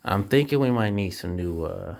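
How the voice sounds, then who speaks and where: A man talking casually and informally with a colleague during an indoor walkthrough, thinking aloud and making quick decisions. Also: sits low, expressive, creaky, background noise. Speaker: property manager doing a walkthrough inspection. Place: indoors, rooms of an empty house under inspection.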